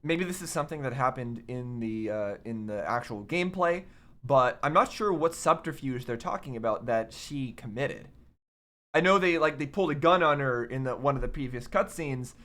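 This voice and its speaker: A man's voice: mid-range voice, animated, moderate pace, fairly bright tone. A man giving casual reaction commentary on game cutscenes, musing and thinking aloud as he goes. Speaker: reaction video host.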